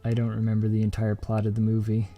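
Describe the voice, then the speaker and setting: A man with a mellow, dark voice chats on a game night stream. He pauses often and hunts for words, and soft music plays underneath. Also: lowish voice, completely flat delivery. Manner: hesitant, searching for words. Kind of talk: casual chat among friends.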